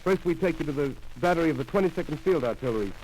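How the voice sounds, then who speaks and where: A man's voice: on the high side, expressive, very fast, darkish tone, slight background noise, lightly acted, poor audio. An actor playing a male radio news announcer giving urgent bulletins in 1930s radio drama style.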